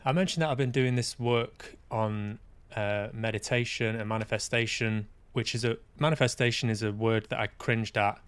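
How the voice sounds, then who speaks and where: A man's voice: medium pitch, steady tone, moderate pace, hardly any pauses, clear, resonant tone, no echo. Rambling English man hosting a stream. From Manchester, England. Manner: rambling, self-deprecating, dry humour. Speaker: podcast host and streamer. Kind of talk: casual livestream chat.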